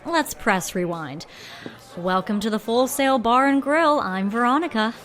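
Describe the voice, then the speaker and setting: A small-town bartender in an audio drama. Her voice is a little high and very expressive in pitch. She teases and banters with a quick, dry wit. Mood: teasing, wry.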